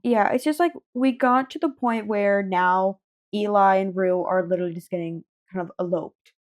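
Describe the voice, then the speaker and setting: A woman's voice: mid-range voice, moderate pace. A woman co-hosting a casual podcast, chatting in an informal, effusive way. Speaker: podcast co-host. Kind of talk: casual book chat.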